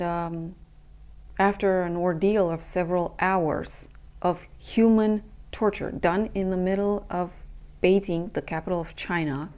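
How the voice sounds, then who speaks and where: A young adult woman from Romania, a coach, speaking earnestly in a halting personal monologue with many pauses and fillers. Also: on the low side, a little mellow, smooth. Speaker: intimacy and breathwork coach.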